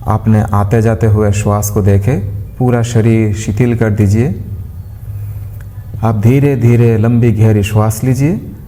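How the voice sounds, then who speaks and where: Man, meditation guide, gentle instruction, slow delivery with pauses, soft mellow tone, long hall reverb. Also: on the low side, steady tone. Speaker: meditation guide, yoga teacher. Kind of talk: guided meditation with mantra chanting.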